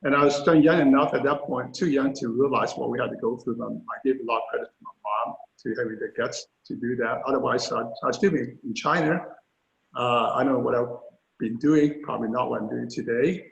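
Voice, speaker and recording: A man's voice: medium pitch, brisk, creaky. An older man tells his life story as an interview guest, candid and self-deprecating, with many fillers. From Hong Kong. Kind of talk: storytelling in a panel conversation. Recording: roomy, dull lo-fi sound.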